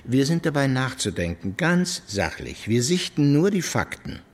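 An actor playing a calm, factual police investigator in a radio drama, precise and formal in questioning. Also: male voice, medium pitch, moderate pace. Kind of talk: German radio drama acting.

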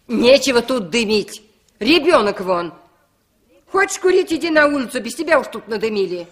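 A woman's voice: on the high side, big pitch swings, normal speed. An actress playing a suspicious, nagging middle-aged busybody in a 1960s Soviet radio play, blunt and folksy in her scolding.

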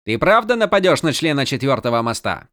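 A man's voice: mid-range voice, sing-song, fairly quick, a bit bright, no echo, clean studio sound, pitched above the performer's own voice. A man voicing an insistent young soldier in a fantasy audiobook, urgently persuading and sharply questioning a comrade. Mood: sharp, challenging.

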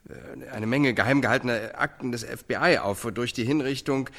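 A tv news reporter on live air, formal announcer talk, middle pitch, radio play. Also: male voice, a little fast, gravelly, fairly bright tone. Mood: formal, solemn. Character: American TV reporter presenting a live execution broadcast.

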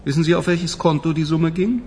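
An actor in a radio play voicing a stern, accusing police general with a clipped, authoritative way of interrogating. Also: male voice, fairly high pitch, brisk, a little mellow, slight background noise, close to an everyday voice. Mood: cold, probing. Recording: long hall-like reverb.

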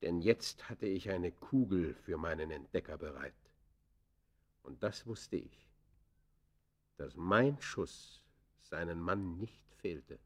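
A low-voiced, embittered outlaw confessing. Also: male voice, animated, exaggerated voice. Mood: defiant. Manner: literary, theatrical, deliberate confession. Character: embittered male outlaw poacher confessing his crimes. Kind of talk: German classic radio play acting.

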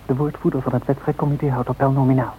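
A man playing the narrator of a radio play, reading the script in a measured, factual way. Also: mid-range voice, flat delivery, close to an everyday voice. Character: factual narrator chronicling an air race. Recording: tinny, faintly noisy old radio recording.

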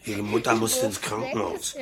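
A man's voice: on the low side, little pitch movement, moderate pace, over a noisy crowd, lightly acted. An actor playing a dim, blunt, menacing ex-convict father in a radio crime drama, speaking in simple phrases. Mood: cheerful, offhand.

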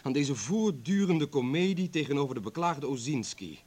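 An actor playing a proud, wounded doctor in a radio play, husky and aggrieved as he defends himself. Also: on the high side, lively intonation, normal speed, darkish tone. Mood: defensive, aggrieved. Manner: impassioned, aggrieved. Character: proud, wounded male doctor, a lonely outcast ex-convict. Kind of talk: Dutch radio play acting.

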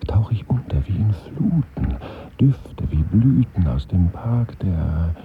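Ein Schauspieler spricht in einem Hörspiel den inneren Monolog eines grüblerischen, morbid träumenden Mannes, bruchstückhaft und sinnierend. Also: male voice, lowish voice, lively intonation, a little hiss or hum. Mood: dreamy, sensual. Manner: slow, fragmentary, musing. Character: brooding man in dreamy, morbid inner monologue. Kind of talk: literary radio play monologue. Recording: dull 1970s radio recording, music behind.

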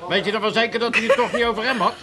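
An actor plays a wry Amsterdam pub barman in a radio comedy. His male voice is pitched high, with the sound of people behind it. He needles and teases in dry banter. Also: steady tone, rapid-fire, crowd noise, natural voice. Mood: amused. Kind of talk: 1980s radio comedy acting.

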